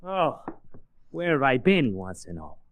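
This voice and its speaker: Animated, griping Brooklyn sidekick. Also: male voice, mid-range voice, a little slow, slight background noise. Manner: wisecracking, slangy, complaining. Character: harmless, griping working-class male Brooklyn Irish sidekick. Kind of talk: 1940s radio drama acting.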